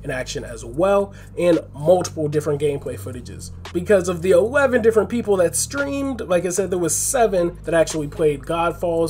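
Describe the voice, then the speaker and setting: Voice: medium pitch, a little mellow. A gaming channel host giving conversational news commentary over gameplay footage, speaking directly to viewers.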